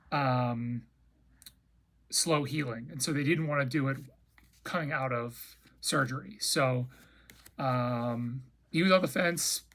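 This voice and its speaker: A man with a raspy, slightly rough voice talks casually to his viewers. He pitches his voice in a middling range. He rambles, pauses on fillers and often restarts his sentences. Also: fairly bright tone. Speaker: vlogger. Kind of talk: casual personal update chat.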